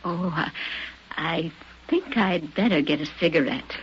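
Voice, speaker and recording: An actress playing a scheming young woman in a radio drama, with a hushed, theatrically tender delivery. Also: lowish voice, animated, measured pace. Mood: coolly mocking. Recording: muffled, hissy old radio drama recording.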